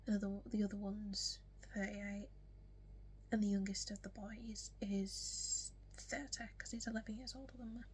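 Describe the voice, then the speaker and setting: A young woman speaks with a strong Yorkshire accent in a casual, whispery get-to-know-me vlog, full of ums and the odd hiccup. Her pitch stays flat and even. A faint noise sits under her voice. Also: moderate pace. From West Yorkshire, England. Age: young adult. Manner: soft, frequently whispery delivery with many ums. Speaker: vlogger.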